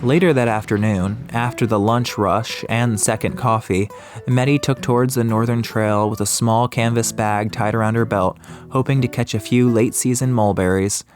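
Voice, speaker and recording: Low-voiced man narrating over music. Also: fairly even intonation, normal speed. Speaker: audiobook narrator. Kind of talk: scripted atmospheric story narration. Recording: music behind the voice.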